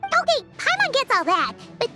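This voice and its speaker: A woman voicing a tiny, chirpy floating girl companion who asks questions in a high, bouncy way. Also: huge pitch swings, normal speed, loud music behind the voice, exaggerated voice. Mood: curious.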